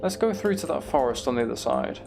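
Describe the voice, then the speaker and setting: British man, middling pitch, creaky fry, casual game commentary, thinking aloud, light music behind. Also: lively intonation, fast talker. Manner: thinking aloud, mild swearing. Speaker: gamer narrating own play.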